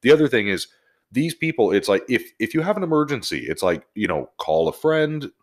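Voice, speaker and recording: A man's voice: medium pitch, big pitch swings, fairly quick. A man with an American accent talking bluntly in a casual group chat as a podcast co-host, swearing freely and stressing his points. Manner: blunt, profane, emphatic. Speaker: auto service advisor.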